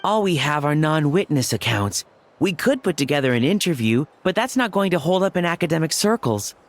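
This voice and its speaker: High-voiced studious male assistant. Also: very animated, a little fast. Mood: earnest. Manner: formal, precise. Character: studious, precise male assistant to a scholarly gentleman. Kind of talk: video game voice acting.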